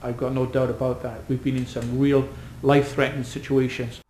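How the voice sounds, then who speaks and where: Man, flat delivery, plain manner, echoey room. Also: mid-range voice, fairly quick, slight background noise. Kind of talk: casual spoken promo.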